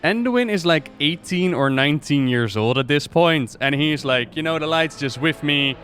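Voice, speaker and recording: A man giving casual reaction commentary on game cinematics as a streamer, excitable and slangy in his exclamations. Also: a little high, moderate pace. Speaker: streamer hosting a reaction video.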